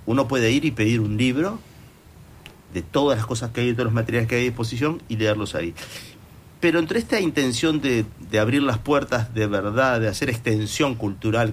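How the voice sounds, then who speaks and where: A man hosting a casual radio interview, talking in long rambling runs with fillers. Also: on the low side. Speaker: radio host. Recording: faint background noise.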